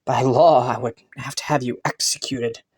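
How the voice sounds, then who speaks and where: A man's voice: medium pitch, steady tone, moderate pace, darkish tone, close to an everyday voice. A man playing a haughty, teasing young crown prince in a romantic fantasy audio roleplay, suspicious yet intrigued, with a smug manner. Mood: smug, threatening. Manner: teasing, smug, chuckling and sighing between phrases.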